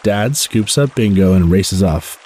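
Male narrator for children's read-aloud stories, lowish pitch, very lively intonation, a little husky. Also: measured pace. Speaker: story podcast host and reader.

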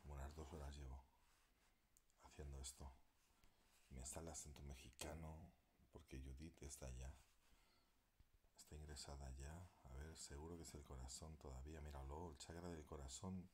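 Deep-voiced man rambling to followers. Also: steady tone, normal speed, pauses often, a little mellow, slight background noise. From Spain. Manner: rambling, thinking aloud while working a device, at times muttering half-whispered. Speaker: alternative healer. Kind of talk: casual live video talk to followers.

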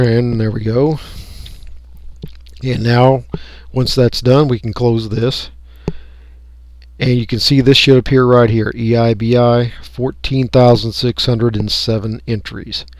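A man's voice: medium pitch, dry recording. Man, casual tutorial, dry close sound. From United States. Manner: unscripted, folksy, explaining each click. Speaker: radio hobbyist giving a tutorial. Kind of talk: casual step-by-step software tutorial. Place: indoors, voice-over for a screen recording.

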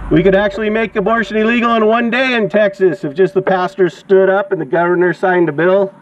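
A high-voiced man preaches in the open air through a megaphone, exhorting in a declamatory protest style. The recording is dull, with faint to clear outdoor traffic noise behind him. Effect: megaphone amplification. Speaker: street preacher and activist. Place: outdoors on grass beside a sidewalk and parking lot. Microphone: action camera beside the megaphone.

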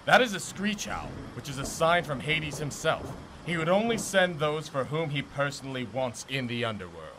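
A man's voice: a little high, lively intonation, moderate pace, few pauses, fairly bright tone, rough recording. A man playing a bitter, contemptuous older blacksmith in ancient Greece, haughty and declamatory, in an audio drama. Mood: angry, accusing. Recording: noticeable background noise.

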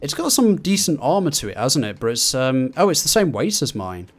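A man's voice: medium pitch, sing-song, fairly quick. A man chatting casually while playing a co-op video game, teasing in a dry, mock-serious way. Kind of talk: casual gaming chat.

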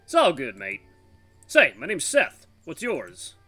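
A man's voice: fairly high pitch, wildly swooping pitch, slow, pushed and bright, no echo, soft background music, pitched above the performer's own voice, heavily acted. A man voicing a hearty, folksy tiger in his fifties with a British accent, in a visual novel read-aloud. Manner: folksy, hearty, calls people lad and mate. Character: cheerful chatty tiger man in his fifties, war refugee.

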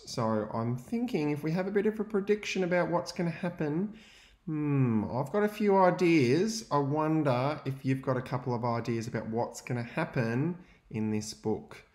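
A young adult male teacher, reading a picture book aloud to young students and pausing to ask them questions. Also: mid-range voice, echoey room. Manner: gentle, expressive storytelling with pauses to ask questions.

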